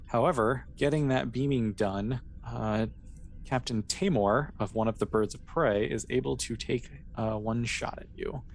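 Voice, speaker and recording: Guy game mastering casually, medium pitch, kinda halting storytelling, bit of noise behind him. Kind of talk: casual narration of a tabletop role-playing game. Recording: clear background noise.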